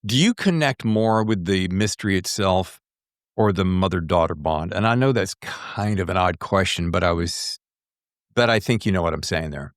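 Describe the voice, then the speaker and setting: An American man hosting a conversational interview, reflective and halting as he circles back through his thoughts. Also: deep voice, expressive, no room sound, studio quality. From American South. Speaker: podcast host interviewing an author.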